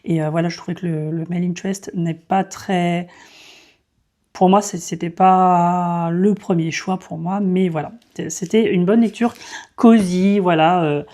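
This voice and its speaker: A woman in her thirties reviews a novel in a loose, chatty way. Her voice sits a little low and sounds warm and soft-edged. She often pauses, restarts her sentences and fills gaps with hesitant sounds. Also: steady tone. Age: 30s. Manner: hesitant, many fillers and restarts. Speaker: book vlogger. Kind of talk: casual book review.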